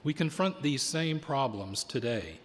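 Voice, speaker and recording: A man hosting a live radio drama from a stage, announcing in a formal, measured way with deliberate phrasing. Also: medium pitch, expressive, slight background noise. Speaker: producer and host. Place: on stage in a theater with long reverb. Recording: reverberant theater hall.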